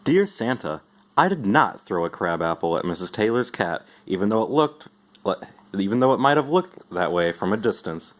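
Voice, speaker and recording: A man's voice: fairly low pitch, very animated, normal speed. A man voicing an earnest, self-justifying schoolboy in a storybook, with exaggerated politeness.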